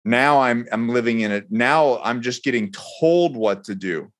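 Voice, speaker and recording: A man speaking as a podcast guest in earnest conversation, building his points haltingly by restating them. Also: mid-range voice, lively intonation. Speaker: podcast guest, military veteran. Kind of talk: earnest conversational political talk.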